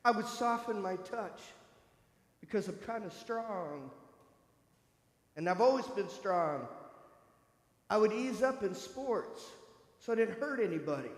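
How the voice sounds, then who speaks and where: A man over fifty giving an earnest, conversational personal testimony to a church congregation, speaking directly to his listeners. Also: sits high. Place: church sanctuary. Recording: echoey hall with long reverb.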